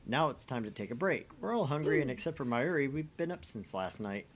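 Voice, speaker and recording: A man's voice: medium pitch, animated, a little fast. A man hosting and narrating a visual novel read-along, mixing scripted narration with casual asides.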